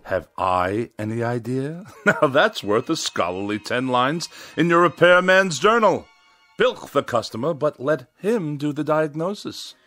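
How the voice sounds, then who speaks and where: Pompous irritable writer, sneering sarcasm, ornate wording, very animated intonation, radio drama. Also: male voice, a little high, unhurried, faint music behind, heavily acted. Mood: scornful. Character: haughty, irascible male writer who despises machines.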